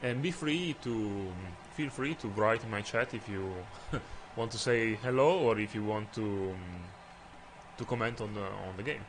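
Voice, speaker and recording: A man with a lowish voice and an Italian accent talks through a football video game he is streaming. He speaks English as a second language, halting often with fillers while he looks for words. A faint background noise runs under the voice. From Italy. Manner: hesitant, many fillers, searching for words. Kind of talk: live game commentary.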